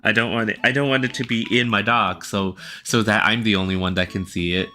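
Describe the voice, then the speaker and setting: A man's voice: on the low side, steady tone, brisk, bright, forceful tone. A man casually chatting on his livestream, rambling and often correcting himself mid-sentence. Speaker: livestreaming artist. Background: faint background music.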